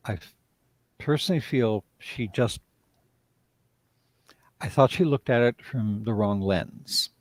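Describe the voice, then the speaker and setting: A man's voice: on the low side, slow, long pauses, no room sound. A man, a writer and director, speaking reflectively in a live-stream interview and often trailing off mid-thought.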